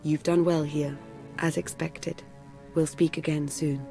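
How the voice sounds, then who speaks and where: An actress playing a cool, composed female intelligence operative in a video game, speaking in a measured, formal, understated way. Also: low-pitched, flat delivery, creaky, music in the background. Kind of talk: scripted video game cutscene acting.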